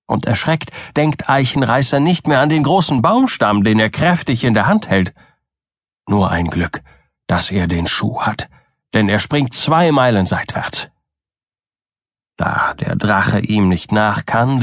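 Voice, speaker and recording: Male audiobook narrator, dry close sound, unhurried, very expressive intonation, dramatic children's storytelling. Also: on the low side, no echo. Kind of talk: scripted fairy tale narration for children.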